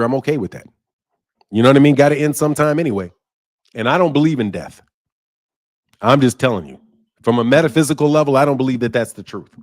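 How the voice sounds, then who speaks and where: A man in his mid-fifties hosting a boxing channel, talking bluntly and heatedly to his viewers and laughing often. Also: mid-range voice. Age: 50s. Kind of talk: live commentary to viewers.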